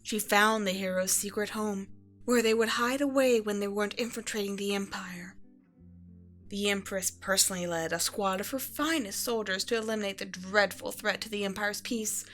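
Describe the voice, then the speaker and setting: A woman plays a proud evil empress and doting mother in a bedtime audio roleplay. Her voice sits at a medium pitch for a woman, and she tells her tale with measured, self-righteous regal poise. Soft music runs underneath the storytelling. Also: lightly acted.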